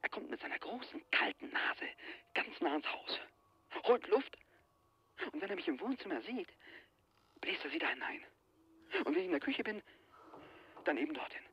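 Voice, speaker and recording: A man's voice: high-pitched, very animated, moderate pace, vocal fry, pauses often, slightly rough, highs cut off, heavily acted. An actor in a radio play, playing a frightened, obsessed travel writer who believes he is being hunted, with an agitated, dramatic delivery. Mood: hushed fear. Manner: agitated, dramatic, swinging from hushed dread to frantic outbursts. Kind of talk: 1980s German radio play acting.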